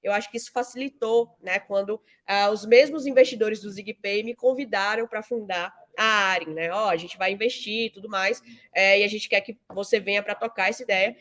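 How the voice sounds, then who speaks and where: A woman's voice: mid-range voice, a little fast. A woman, giving conversational, explanatory interview answers peppered with tag questions. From Salvador, Bahia, Brazil. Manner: frequent 'né' tags, explanatory. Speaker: interview guest, fintech founder and CEO.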